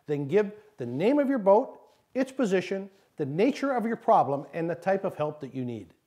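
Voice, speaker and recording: A man reading scripted instructional narration in a measured, didactic way, as an instructor. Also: high voice, very animated, mellow.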